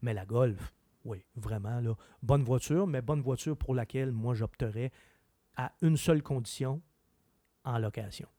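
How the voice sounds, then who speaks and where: A man with a Québécois French accent, a car expert chatting on a radio show in an opinionated, animated way. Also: mid-range voice, unhurried, dry recording. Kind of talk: casual chat.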